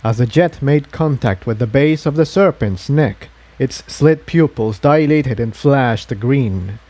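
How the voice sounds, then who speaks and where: Mellow male story narrator, dry sound. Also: medium pitch, slow pace, no echo. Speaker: fan fiction reader, narrator.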